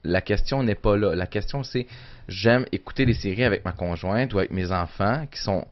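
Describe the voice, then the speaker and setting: A man's voice: lowish voice, normal speed, highs cut off. A man with a Québécois French accent, co-hosting a casual podcast chat, emphatic and opinionated, often repeating himself. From Quebec. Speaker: podcast co-host.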